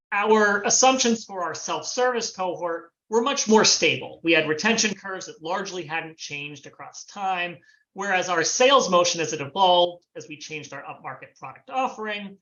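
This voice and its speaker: A man walks through a financial model over a video call. His voice is bright and crisp, and his delivery is measured and explanatory, with frequent "um"s and "sort of"s. The call audio is dull and lo-fi. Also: high-pitched. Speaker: former finance planning head, guest.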